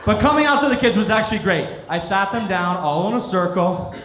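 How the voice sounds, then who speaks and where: A middle-aged American man doing live stand-up comedy, telling stories with deliberate pauses and acted-out quotes. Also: sits high, animated, fairly bright tone. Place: indoor comedy club stage. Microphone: stage microphone. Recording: roomy echoing club, some noise.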